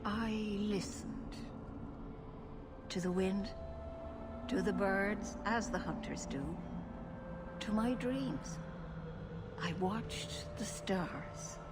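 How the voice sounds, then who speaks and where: Female elven keeper, fantasy game, slow pace, mid pitch, measured, solemn, formal, background music. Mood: calm, mystical. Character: wise, solemn elven woman, keeper of her clan. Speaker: clan leader and spiritual guide. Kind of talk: fantasy video game dialogue.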